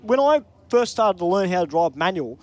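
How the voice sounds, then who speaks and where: A man's voice: high-pitched, big pitch swings, moderate pace, darkish tone, a little hiss or hum. A man with an Australian accent calling drag races in live commentary, chatty and upbeat in easy banter.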